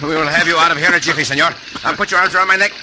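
An actor playing a bold, courteous Mexican cowboy hero with a Mexican accent in an old-time radio western. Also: male voice, fairly high pitch, steady tone, very fast, natural voice. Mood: urgent. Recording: noisy, crackly old radio recording.

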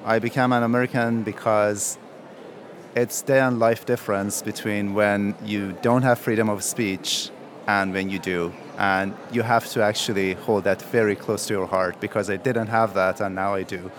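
A man from Iran with a slightly low voice speaks slowly and earnestly, pausing to find his words. Faint city and traffic ambience sits behind him outdoors. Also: steady tone. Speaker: new citizen. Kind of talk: short recorded statement.

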